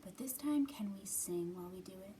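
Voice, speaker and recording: A woman hosting storytime for young children, reading and talking to them in simple, expressive phrases. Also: medium pitch, a little fast, slight background noise. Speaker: children's library storytime host. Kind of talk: storytime read-aloud and singalong for young children.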